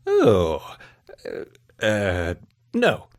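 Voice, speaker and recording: A man's voice: on the low side, huge pitch swings, drawn-out words, heavily acted. An actor playing a bookish, evasive male scientist in a video game, with a fussy, theatrical manner. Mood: flustered, evasive. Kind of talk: video game character acting.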